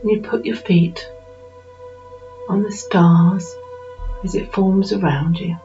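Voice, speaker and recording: A woman with a dark, relaxed timbre and a middling pitch leads a guided meditation. She speaks soothingly in short, unhurried phrases with pauses between them. The recording is a little dull and lo-fi, with faint music behind her.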